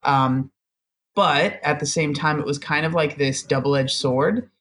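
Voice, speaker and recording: A man's voice: fairly high pitch, unhurried, fairly bright tone. A young man telling his story as a podcast guest, chatty and self-deprecating, often laughing. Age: young adult. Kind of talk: casual storytelling interview.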